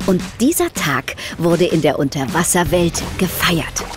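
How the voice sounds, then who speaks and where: A woman's voice: lowish voice, lively intonation, unhurried, music in the background. A woman narrating a children's story in scripted audiobook style.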